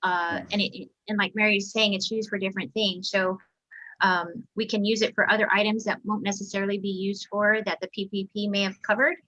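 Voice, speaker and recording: Bright-voiced woman on dull lo-fi audio. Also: mid-range voice, level tone, brisk. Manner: earnest, hopeful. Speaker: restaurant operator and caterer. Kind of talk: interview answer. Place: video call.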